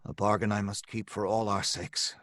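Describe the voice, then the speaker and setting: An actor playing a tormented, guilt-ridden scientist, earnest and dramatic, in old time radio horror. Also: male voice, on the low side, level tone, normal speed, fairly bright tone, no echo, clean studio sound, lightly acted. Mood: grim resolve. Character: tormented, guilt-ridden scientist telling his tragic story.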